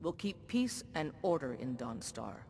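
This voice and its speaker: Casting: a stern old war-horse ruler, formal, slightly high voice, long reverb. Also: male voice, very animated, darkish tone. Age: older. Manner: measured, formal and commanding. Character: older male jarl, a former soldier, stern and dutiful. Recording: game audio with long reverb and faint rumble.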